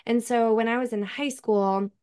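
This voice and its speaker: A woman's voice: a little high, steady tone, moderate pace, a little mellow, smooth. A woman from upstate New York with that region's accent, telling her life story as a podcast guest in a chatty way full of "like" and "um". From upstate New York, USA. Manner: chatty, many fillers like "like" and "um". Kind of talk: casual interview chat.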